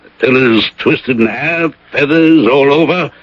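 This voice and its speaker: An actor in an old-time radio drama playing a superstitious, alarmed innkeeper with an urgent, dramatic delivery. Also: male voice, mid-range voice, unhurried, lightly acted. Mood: alarmed, grim. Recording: dull lo-fi old radio recording.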